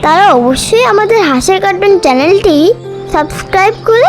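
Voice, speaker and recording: A woman's voice: very high voice, expressive, normal speed. A woman narrating a scripted children's cartoon story. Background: music.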